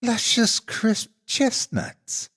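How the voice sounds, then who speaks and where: A man voicing a sly, hungry female monster posing as an elderly auntie, in a wheedling falsetto with drawn-out words. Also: animated, a bit bright, slightly rough, heavily acted. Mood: sweetly deceitful.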